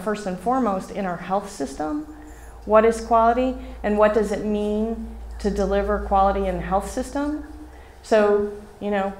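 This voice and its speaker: A middle-aged woman giving a conference keynote at a podium microphone in a hotel hall, animated and often asking "right?". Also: mid-range voice, a little hiss or hum. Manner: animated, gestures widely, asks rhetorical "right?". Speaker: keynote speaker.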